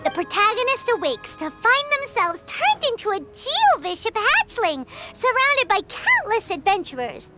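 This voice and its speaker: Very squeaky little companion sprite. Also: female voice, huge pitch swings, normal speed, hardly any pauses, exaggerated voice. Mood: eager storytelling. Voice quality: squeaky, childlike. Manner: exaggerated, exclamatory. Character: tiny excitable childlike companion sprite, cheeky and squeaky. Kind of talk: video game character acting. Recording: game music behind the voice.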